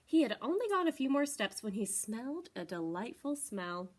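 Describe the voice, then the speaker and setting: High, animated woman reading to children. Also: moderate pace. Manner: expressive, addressing young children. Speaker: library storytime presenter. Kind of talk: children's storybook read-aloud. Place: small library corner, indoors.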